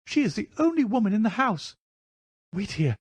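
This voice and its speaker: A man voicing a timid, feeble, fearful gentleman in an audiobook, fretful and helpless in manner. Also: high voice, very animated, moderate pace, slightly rough, no echo, pitched above the performer's own voice, exaggerated voice. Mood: hurried, nervous. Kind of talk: audiobook character voice.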